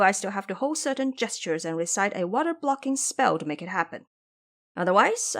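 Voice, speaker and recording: A woman voicing a clever, confident male monkey elder brother in an audio drama, wry and instructive. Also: medium pitch. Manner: wry, instructive, sure of himself.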